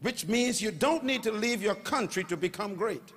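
An older Bahamian man preaches from a large stage into a handheld mic. His voice sits high and rises to shouts as he calls on the crowd to answer. A long reverb from the hall trails each phrase. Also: animated, moderate pace, slightly rough. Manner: preaching with call-and-response, rising to shouts. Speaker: pastor. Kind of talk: sermon.

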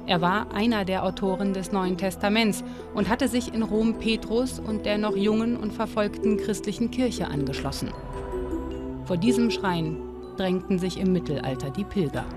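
A woman giving calm, measured scripted narration for a documentary. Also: medium pitch. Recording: studio voice-over with clear music underneath.

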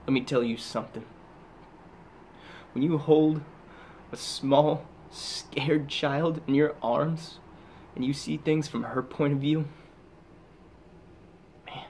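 A man performing a dramatic monologue as a haunted, guilt-ridden soldier back from war, halting and intense, with heavy pauses. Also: mid-range voice, darkish tone, a little hiss or hum. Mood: anguished, disillusioned.

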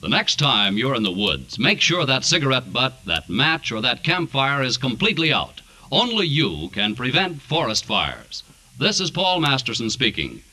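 A man's voice: mid-range voice, wildly swooping pitch, normal speed, poor audio. An American man announcing on 1940s network radio in a formal, declamatory style. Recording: vintage 1940s radio broadcast.

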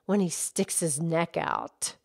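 A woman voicing a calm, knowledgeable grown-up cow puppet, speaking in a soft, measured, teacher-like way in a children's puppet show. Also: fairly low pitch, creaky, no echo, studio quality, heavily acted. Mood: gentle, measured.

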